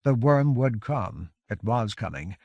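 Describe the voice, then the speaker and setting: A man reads dramatic fiction as an audiobook narrator. His voice sits at a medium pitch and he tells the story in a measured, grave way. The sound is dry, with no room on it. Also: lively intonation, gravelly, darkish tone, dry recording, highs cut off.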